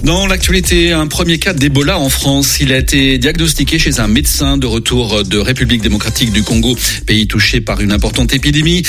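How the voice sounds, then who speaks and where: A man reading the radio news as anchor, steady and measured. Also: medium pitch.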